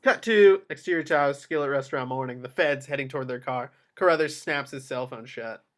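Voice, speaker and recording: A man's voice: medium pitch, brisk. A man narrating the action lines of a screenplay at a table read.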